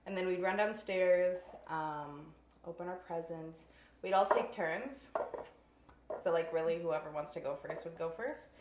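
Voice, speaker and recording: A woman in her twenties co-hosting a casual chat, relaxed and rambling with lots of fillers. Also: fairly low pitch, a little slow. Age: 20s. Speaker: co-host. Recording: echoey room with faint noise.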